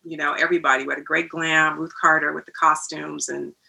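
A woman's voice: low voice, level tone, fairly quick, vocal fry, bright, forceful tone, husky, poor audio. A woman answering conversationally as a hair and makeup artist being interviewed about film work.

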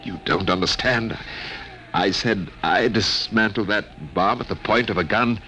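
An actor playing a shaken, weary man who gravely insists on an incredible story in a halting 1950s radio drama style. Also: on the low side, sharp tone. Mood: insistent.

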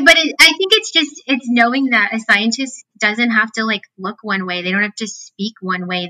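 A woman in her thirties with a squeaky, nasal voice, chatting animatedly as an interview guest and using a lot of filler words. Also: rough recording. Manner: animated, talks with many fillers and acted-out quotes. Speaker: guest, fitness scientist. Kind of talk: casual interview chat.

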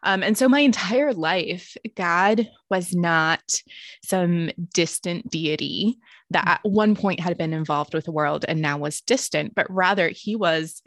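A woman in her thirties, a ministry leader and author, talking about her life in a reflective, earnest way with soft laughs. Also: fairly low pitch, measured pace, dry recording, studio quality. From born in Greece, raised in the United States and Romania. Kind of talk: casual interview conversation.

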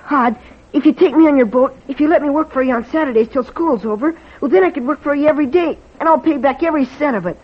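A young boy acted by a woman in a 1940s radio drama. The voice is high and boyish, folksy and full of earnest appeal. The old recording sounds a little dull. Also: fast talker, natural voice. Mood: eager. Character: eager, pleading young orphan boy.

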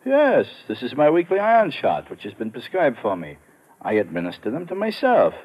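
An actor playing a crooked, haughty European town mayor in a 1950s radio drama, speaking in formal, precise phrases. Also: male voice, medium pitch, huge pitch swings, moderate pace, slightly rough, lo-fi sound. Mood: haughty, indignant. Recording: old radio drama recording.

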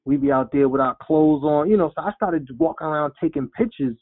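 A man in his forties tells his story with animated energy, voicing his younger self as he goes. His voice sits a little high. The sound is thin and lo-fi, like a phone line. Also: brisk, husky. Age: 40s. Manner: animated storyteller, acts out quotes. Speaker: guest, rapper. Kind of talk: storytelling interview.

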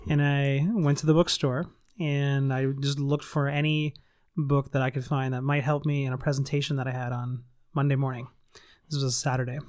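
A man with a smooth, resonant voice hosts a podcast interview. He tells stories and asks questions in a friendly, chatty way. The sound is close and dry. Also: medium pitch, flat delivery, normal speed, darkish tone, no room sound. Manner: friendly storytelling with fillers. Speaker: podcast host. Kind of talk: casual interview. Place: studio in San Antonio.

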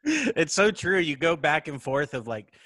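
A man's voice: a little high, wildly swooping pitch, a little slow, sharp tone, no room sound. A man hosting a casual comedy podcast, crude and self-mocking, laughing often, with a light lisp. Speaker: podcast host.

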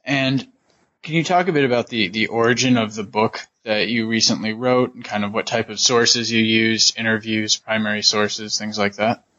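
A man's voice: fairly low pitch, steady tone, normal speed, sharp tone, dull audio. A man hosting a book interview podcast, introducing his guest and asking conversational questions. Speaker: podcast host.

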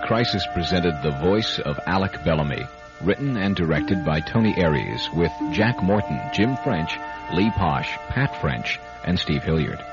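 A man's voice: sits low, animated, moderate pace. A man hosting a radio drama series with scripted announcing. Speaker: announcer and host. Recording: dull radio recording over music. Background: music.

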